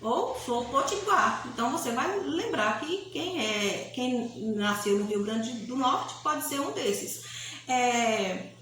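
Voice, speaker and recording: A woman's voice: fairly high pitch, lively intonation, slow pace, a bit bright. A woman teacher with a Bahian Brazilian accent giving a grammar lesson, explaining didactically, repeating key points and often checking with short tag questions. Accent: Bahian Brazilian Portuguese. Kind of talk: recorded grammar lesson for pupils. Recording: echoey room, faint background hiss.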